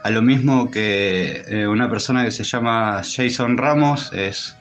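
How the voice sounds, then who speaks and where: A man with an Argentine Spanish accent answering interview questions in a thoughtful, hesitant way with many pauses. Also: fairly low pitch, faint music behind. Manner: hesitant, thoughtful, pausing often. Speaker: interview guest, tattoo artist.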